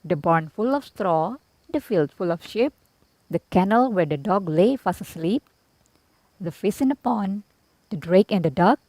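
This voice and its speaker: A woman reading a children's storybook aloud as an expressive narrator. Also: on the low side, no room sound, rough recording. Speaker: storybook reader.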